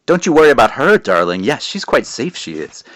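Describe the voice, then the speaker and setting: Man putting on a raspy faceless witch. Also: medium pitch, big pitch swings, fairly quick, a bit bright, no room sound, pitched above the performer's own voice. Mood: purring menace. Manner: purring, lingering on "darling". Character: raspy faceless egg-headed witch, female, sinister.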